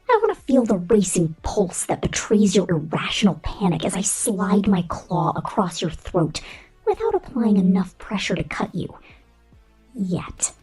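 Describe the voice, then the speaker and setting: Woman acting breathy, cruel robot overseer, swooping pitch. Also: a little mellow, heavily acted, rough recording. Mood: menacing, intimate. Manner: condescending, menacing, slow theatrical delivery with frequent whispers. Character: cruel, domineering female killer robot corporate supervisor. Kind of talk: scripted ASMR roleplay.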